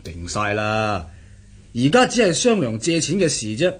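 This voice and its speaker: Radio drama interpreter: chatty, self-assured male insider, animated delivery with a husky edge. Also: medium pitch, moderate pace. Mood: confiding, pleased. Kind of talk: Cantonese radio drama acting.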